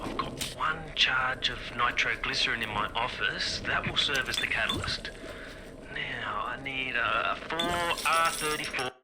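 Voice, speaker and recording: A man's voice: mid-range voice, lightly acted. An actor playing a grim, guilt-ridden male conspirator in a video game, brooding to himself on an audio diary. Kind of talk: dramatic audio diary acting. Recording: very noisy retro audio log.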